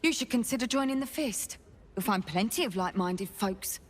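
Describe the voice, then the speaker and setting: An actress playing an earnest, dogged city guard investigator in a video game, with an intense, deliberate, confiding delivery. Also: female voice, mid-range voice, animated, close to an everyday voice. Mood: brisk, suspicious. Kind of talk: dramatic game dialogue. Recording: background music under the voice.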